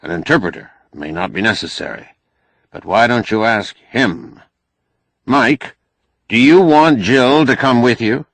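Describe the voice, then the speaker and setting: A man voicing a formal, dry doctor in an audiobook, measured, courteous and firm. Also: mid-range voice, a bit bright, dry recording. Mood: politely firm. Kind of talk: audiobook character acting.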